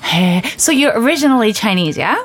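Animated, giggly female radio host. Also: on the high side, fairly quick. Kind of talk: bubbly radio interview chat. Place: radio studio.

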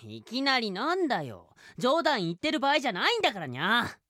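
Bright, brash boyish young swordsman. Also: on the high side, wildly swooping pitch, brisk, no echo, studio quality, full character voice. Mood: gruff. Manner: blunt masculine wording, indignant. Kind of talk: comedic anime-style radio drama acting.

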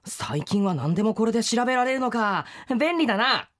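A boyish rain spirit acted by a woman in an audio drama. He is cocky and breezy, talking like a carefree schoolboy, and his pitch swings in a lively way. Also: a little fast, close to an everyday voice. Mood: nonchalant, teasing.

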